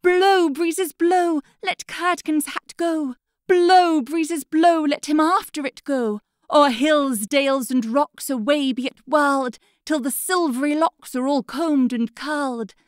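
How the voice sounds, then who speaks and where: A woman's voice: high-pitched, slow pace, hardly any pauses, no echo, clean studio sound, pitched above the performer's own voice. A woman voicing a sorrowful young princess who works as a goose girl, chanting her rhymes in a lilting sing-song. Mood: pleading.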